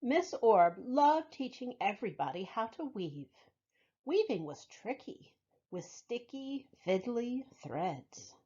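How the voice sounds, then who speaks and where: A woman reading a picture book aloud for young children, with expressive, deliberate phrasing, as a library storytime reader. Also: a little high, breathy edge, lo-fi sound.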